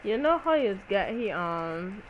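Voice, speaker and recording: A woman's voice: medium pitch, very animated, unhurried, dull audio, a little hiss or hum. A woman streaming a video game, commenting casually in slang and exclaiming as she reacts aloud. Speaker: gaming streamer.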